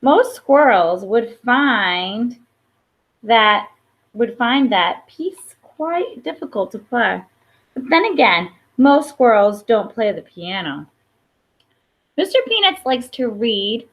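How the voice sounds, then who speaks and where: A woman reads a children's story aloud in a fairly high voice that swings expressively up and down. She takes her time and pauses for emphasis. The recording sounds a little dull and lo-fi. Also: very animated. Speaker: storybook reader. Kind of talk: picture-book read-aloud with brief asides.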